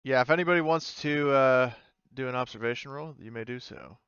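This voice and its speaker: A man's voice: medium pitch, a little fast, a bit bright, clear, resonant tone, no room sound. A man running a tabletop game as game master, trailing off with fillers and laughing often. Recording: dull lo-fi dry sound.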